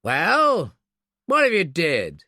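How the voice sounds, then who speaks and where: A man's voice: a little high, huge pitch swings, slow pace, pushed and bright, no echo, clean studio sound, voice pushed up, exaggerated voice. A man voicing a blustering, indignant military officer in an audiobook, with a pompous, sneering, emphatic manner. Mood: defensive bluster.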